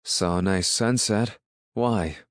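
A man voicing a calm, sensible country husband in an audiobook, with a wry, reasoning, mildly folksy manner. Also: lowish voice, takes it slowly, no room sound, clean studio sound. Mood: guarded, casual. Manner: reasoning, dryly challenging, folksy. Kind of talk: audiobook character dialogue.

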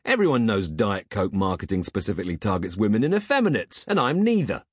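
Man acting a petulant, jumpy comic hero, swooping pitch. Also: very animated, normal speed, a little mellow, breathy edge, dry recording, studio quality, heavily acted. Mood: exasperated. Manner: whiny, huffy, grumbling. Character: petulant, jumpy, self-important comic hero with secret lair.

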